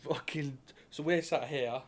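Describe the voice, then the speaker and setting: A young man from England, co-hosting a podcast in casual chat, fast, sweary and teasing. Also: a little high, noisy background. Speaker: podcast co-host.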